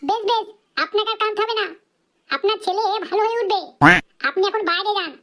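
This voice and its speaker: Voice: extremely high pitch, quick pace, background noise. A performer voicing a cheeky, crude young hospital assistant in a comedy cartoon dub. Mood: earnest. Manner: cheeky, foul-mouthed.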